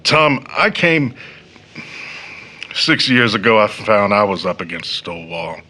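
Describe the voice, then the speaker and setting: A man's voice: lowish voice, very animated, measured pace, pushed and bright, heavily acted. An actor playing a polite, earnest and sympathetic working man in a stage-style audio drama. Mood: sober, sympathetic. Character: courteous, sympathetic working man, family friend.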